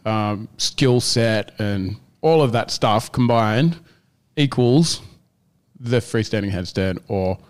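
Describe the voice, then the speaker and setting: A man's voice: lowish voice, slow, smooth. A man co-hosting a casual gym podcast, rambling and often restarting as he searches for words. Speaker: gym owner and podcast co-host.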